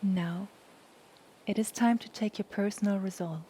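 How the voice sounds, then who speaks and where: A woman guiding a yoga nidra relaxation in a soft, soothing, unhurried voice with long pauses. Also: mid-range voice. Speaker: yoga nidra meditation guide. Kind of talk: guided relaxation meditation. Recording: steady background noise, ocean waves. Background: sound of the ocean.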